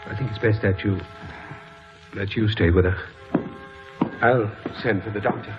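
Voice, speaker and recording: An actor playing a gentle, restrained, sorrowful husband, soft-spoken and hesitant, in an old-time radio drama. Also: male voice, on the low side, steady tone, a little slow, natural voice. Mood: resigned. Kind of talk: 1940s-50s radio drama acting. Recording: muffled old radio broadcast with music.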